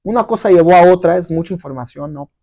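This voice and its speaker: Middle-aged guy, spanish's not his first language, gravelly dark voice, lots of fillers, dry room. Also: high voice, quick pace, dry recording. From abroad, not Mexico. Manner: rambling, many fillers and tag questions. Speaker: guest entrepreneur. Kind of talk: casual interview storytelling.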